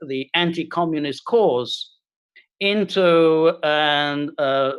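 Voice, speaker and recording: A middle-aged man from Hungary, talking as a guest on a video call and speaking haltingly with many fillers and restarts. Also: on the high side, no echo. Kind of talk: casual interview talk.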